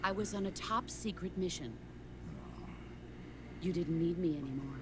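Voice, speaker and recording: Veteran female commander for a game, acted by a woman: composed, stern, lower register, clear background noise. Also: close to an everyday voice. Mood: stern, lecturing. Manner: measured, authoritative. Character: legendary female soldier mentor, stern, composed, philosophical. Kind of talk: video game cutscene acting.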